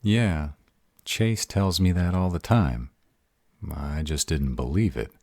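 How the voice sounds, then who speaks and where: A man's voice: low voice, takes it slowly, darkish tone, no echo, studio quality, exaggerated voice. A man playing a sarcastic, insecure young vampire in an audio roleplay, with a drawling manner. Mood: incredulous, self-doubting.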